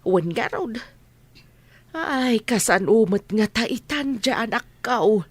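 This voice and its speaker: Worried village mom, radio drama, kinda high voice, super dry recording. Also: female voice, lively intonation, takes it slowly, breathy edge, dry recording, heavily acted. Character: fretful village mother worried about her grown child. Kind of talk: Ilocano radio drama acting.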